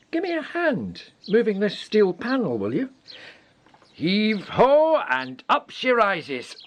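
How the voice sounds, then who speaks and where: A man playing a kindly, brisk wartime village doctor in a radio play, with a Scottish accent and a hearty, avuncular manner. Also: very high voice, creaky, fairly bright tone, heavily acted.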